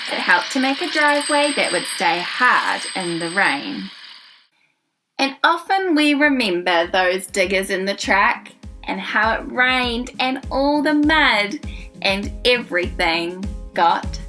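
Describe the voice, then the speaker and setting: Woman, New Zealand accent, children's story host, unhurried expressive rhyming read-aloud, emphatic key words, clear background music. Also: mid-range voice.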